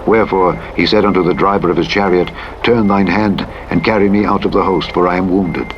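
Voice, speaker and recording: A man narrates scripture in a solemn, formal style, with measured and dramatic delivery. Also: sits low, dull audio. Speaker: audiobook Bible narrator. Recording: loud truck engine noise over voice. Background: truck engine and vehicle sounds from driving simulator game.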